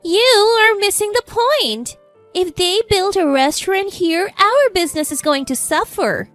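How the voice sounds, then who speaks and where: Woman playing a haughty, shrill older mother-in-law. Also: expressive, measured pace, fairly bright tone, no echo, exaggerated voice. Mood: indignant shouting. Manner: haughty, cutting. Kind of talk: acted dialogue in a narrated story. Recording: background music under the voice.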